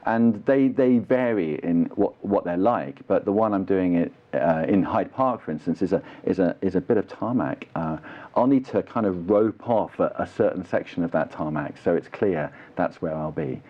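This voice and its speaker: Deep-voiced British man being interviewed. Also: normal speed. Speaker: actor and drama teacher, interview guest. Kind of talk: conversational interview answers. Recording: dull, muffled lo-fi audio.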